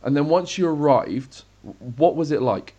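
A man's voice: fairly high pitch, animated, normal speed, mellow, husky, lo-fi sound. A man hosting a podcast, asking curious, encouraging follow-up questions. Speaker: podcast host. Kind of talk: interviewing.

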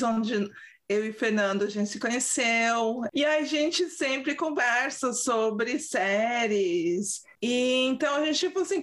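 A woman with a São Paulo accent hosts a casual podcast in a chatty, informal way. Her voice sits a little high, and she talks loosely and giggles easily. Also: fairly bright tone. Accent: Brazilian Portuguese, São Paulo. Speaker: podcast co-host.

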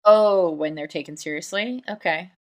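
A woman co-hosting a podcast in casual chat, an animated storyteller who laughs often and acts out what people said. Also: fairly low pitch, normal speed. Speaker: podcast co-host.

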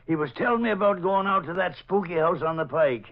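A male actor's gruff, good-hearted police sergeant with a fairly high voice. He has a teasing streak and talks in 1940s radio-drama fashion, on a muffled old recording. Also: normal speed, natural voice. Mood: amused, teasing.

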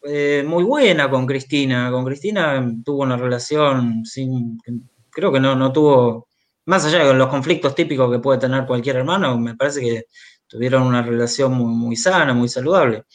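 A man's voice: medium pitch, moderate pace. A man with an Argentine accent speaking as an interviewed writer, conversational and hesitant, often repeating words as he goes. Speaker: interviewed author, journalist and biographer. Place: remote video call.

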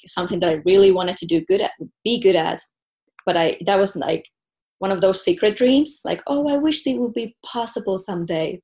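A woman's voice: on the low side, darkish tone. Finnish woman chatting as a podcast guest. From Finland. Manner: chatty, many "like" fillers and restarts. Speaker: guest, professional volleyball player. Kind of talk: casual interview chat.

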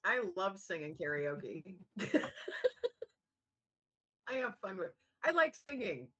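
An American woman guest on a podcast, upbeat and encouraging, with a low raspy, gravelly voice. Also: very animated, slow, sharp tone, lo-fi sound. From United States. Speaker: guest, author. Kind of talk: casual chat.

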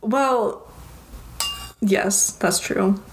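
A woman's voice: medium pitch, very slow. Giggly young woman, faint hiss. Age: 20s. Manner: laughs often, rambling. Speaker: podcast co-host. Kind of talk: casual podcast chat.

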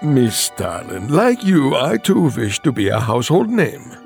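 An actor playing a proud, eccentric scientist, formal and theatrical, in a campy audio drama. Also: male voice, mid-range voice, huge pitch swings, a little slow, vocal fry, faint music behind, exaggerated voice. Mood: eager, boastful. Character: eccentric foreign male scientist, proud and eager.

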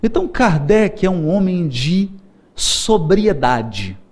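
A man delivering a religious lecture. His voice sits high and swings widely in pitch. He is lively and humorous, repeating words for emphasis. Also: slow. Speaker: Spiritist lecturer.

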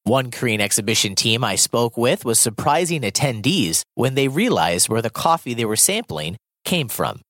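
Lively male reporter, dry studio read. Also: medium pitch, normal speed, no room sound. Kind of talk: scripted news narration.

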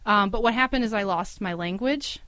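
A woman's voice: medium pitch, fairly quick, pushed and bright, dry recording. A middle-aged woman from Cleveland, a school's founding director, talking casually in an interview with many fillers and easy laughs. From Cleveland, USA.

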